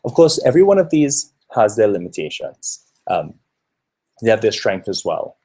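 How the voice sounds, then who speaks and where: A man's voice: on the low side, big pitch swings, moderate pace, dark tone, slightly rough. A man in his thirties from Trinidad giving an earnest, explanatory conference presentation with occasional fillers. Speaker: researcher presenting a talk.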